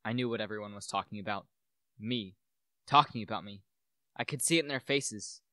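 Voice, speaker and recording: A teenage male student actor playing a brooding, obsessed widower in a radio play, with intense, halting, melodramatic delivery. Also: on the low side, bright, forceful tone, no room sound. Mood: bitter, paranoid. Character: brooding, obsessed widower addressing his dead wife.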